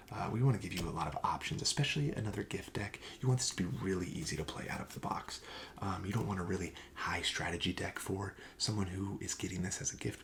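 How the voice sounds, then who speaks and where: A man speaking softly and breathily, often near a whisper, gently explaining wares as a shop clerk in an ASMR roleplay. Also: sits low, creaky, slight background noise. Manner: gentle, explanatory sales patter. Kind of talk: soft-spoken ASMR roleplay.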